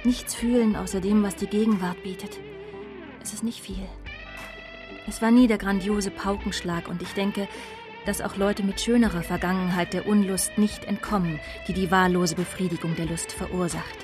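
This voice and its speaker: A woman's voice: medium pitch, fairly even intonation, normal speed, music in the background, close to an everyday voice. An actress playing a disillusioned woman of twenty-nine, narrating her life soberly in a radio drama. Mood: bitter, reflective. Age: young adult. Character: disillusioned 29-year-old daughter of a prostitute, coolly confessional.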